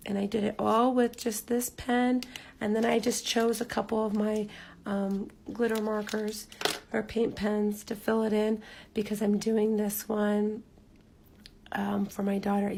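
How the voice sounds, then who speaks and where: A woman with a hoarse, raspy voice leading a live craft tutorial, chatty with lots of ums, dropping almost to a whisper as she works. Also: mid-range voice, a little slow, a little hiss or hum. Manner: chatty, relaxed, frequent ums, drops to near-whisper while focusing. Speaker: rock painting instructor.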